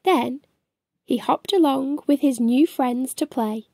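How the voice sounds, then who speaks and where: A middle-aged woman hosting a children's storytime, reading aloud in an expressive, sing-song way. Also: sits high, slow, breathy edge, no echo, studio quality. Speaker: children's storytime host and reader. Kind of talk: animated read-aloud for young children. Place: small furnished room at home.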